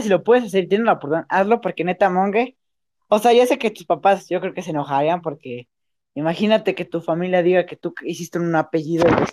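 A woman's voice: fairly low pitch, darkish tone. A woman with a Mexican accent doing a casual, improvised interview in slangy, informal language.

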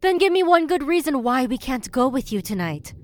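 A woman's voice: high-pitched, sing-song, brisk, a little mellow. A woman playing a suspicious, needling young mermaid in an audio drama, pointed and sarcastic. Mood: challenging. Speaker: voice actor.

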